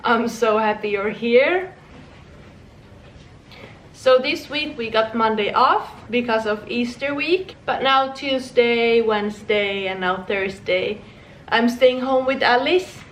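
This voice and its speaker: A woman's voice: fairly high pitch, takes it slowly, a bit bright, far from the mic, slight background noise. A young woman from Finland, chatting casually to her vlog viewers at home, rambling with small restarts and laughs. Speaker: vlogger. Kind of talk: casual chat. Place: home room. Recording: echoey room, voice from off-mic.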